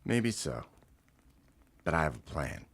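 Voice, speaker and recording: An actor playing a composed, stubbornly idealistic company president in an audio drama. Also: male voice, extremely low pitch, very animated, slow pace, a bit bright, breathy edge, heavily acted. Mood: calm, resolved.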